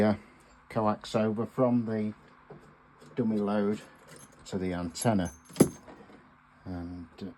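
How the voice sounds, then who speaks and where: A man giving a casual hands-on demonstration as a radio hobbyist host, speaking in a halting, hesitant way with pauses. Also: on the low side, sharp tone, slight background noise, rough recording.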